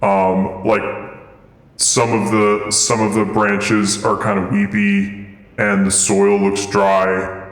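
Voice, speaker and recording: A young man running a tabletop game as dungeon master, relaxed and halting, with lots of ums. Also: lowish voice. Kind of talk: casual tabletop game narration. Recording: echoey room with long reverb.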